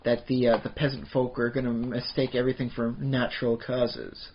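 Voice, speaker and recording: A man's voice: mid-range voice, level tone, moderate pace, a little hiss or hum. Rambling man on lo-fi podcast audio. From Canada. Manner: rambling, many fillers and restarts. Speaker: podcast co-host. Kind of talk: casual chat about tabletop games.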